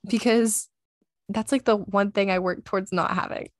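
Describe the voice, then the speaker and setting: A woman's voice: medium pitch, steady tone, moderate pace, dry recording. Young female co-host with a Southern drawl, dry and teasing, recorded close and dead. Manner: wry, teasing, offhand. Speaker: podcast co-host. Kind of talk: casual chat.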